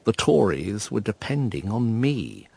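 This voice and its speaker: An actor playing a weary, cynical invalid gentleman who narrates his own story in a radio drama, dry and understated. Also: on the low side, big pitch swings, unhurried, gravelly, slight background noise, heavily acted. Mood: reflective.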